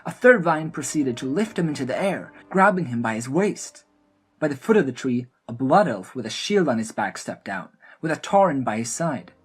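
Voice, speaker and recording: A woman narrates a scripted fantasy tale. Her voice is very low and husky, moving expressively up and down as she tells the story. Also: sing-song, moderate pace, faint music behind.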